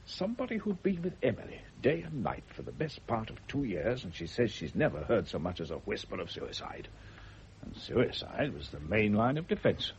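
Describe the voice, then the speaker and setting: An actor playing a shrewd, dry, unflappable family doctor in a classic radio drama, measured and pointedly probing. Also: male voice, lowish voice, wildly swooping pitch. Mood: insistent. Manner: measured, wry, pointedly philosophical. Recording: muffled, noisy old radio recording.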